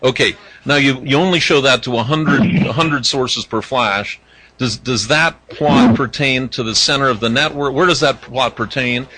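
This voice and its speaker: A man asking unscripted technical questions from a conference audience, halting and repeating phrases. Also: medium pitch, pushed and bright, low-quality recording. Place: indoor conference room.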